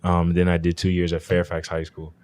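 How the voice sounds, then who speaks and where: A man's voice: deep voice, completely flat delivery, brisk, darkish tone. A young American man, a guest on a sports podcast, chatting in an easygoing way and laughing often. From USA. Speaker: guest, pro football player. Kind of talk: casual podcast chat.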